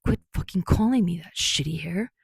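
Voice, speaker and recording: Woman voicing a gruff, grumpy young man. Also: lowish voice, lively intonation, normal speed, no echo, exaggerated voice. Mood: irritated growl. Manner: scolding, growling, muttering curses. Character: gruff, grumpy, foul-mouthed young man, secretly flustered.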